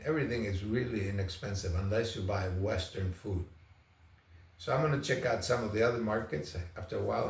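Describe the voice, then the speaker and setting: A man's voice: fairly low pitch, vocal fry, darkish tone. A man from Argentina talking casually as a travel vlogger, in a rambling, conversational, anecdotal way. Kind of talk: casual first-impressions vlog talk. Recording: roomy echo, distant microphone.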